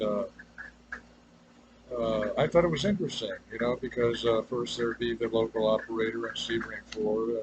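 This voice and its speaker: A man giving an unscripted, rambling personal monologue in a halting, hesitant way, trailing off and circling back to his point. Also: on the low side, muffled recording. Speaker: personal video maker. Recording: clearly noisy ambient background.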